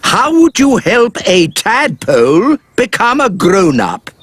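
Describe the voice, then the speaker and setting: A woman's voice: medium pitch, very animated, takes it slowly, slightly rough, no room sound, full character voice. A woman voicing a stern, officious and easily exasperated chief examiner of magic in a children's cartoon. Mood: grave.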